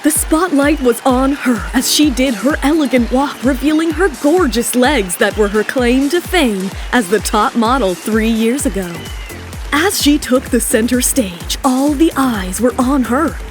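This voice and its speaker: High-pitched woman narrating a drama. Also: lively intonation, a little slow, over music. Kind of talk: scripted dramatic story narration.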